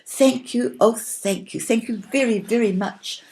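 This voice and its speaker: A woman's voice: on the high side, measured pace, darkish tone, pitched above the performer's own voice. A woman voicing an earnest, wishful little fairy in a storybook, calling out pleadingly. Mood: grateful, joyful. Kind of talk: storybook character acting.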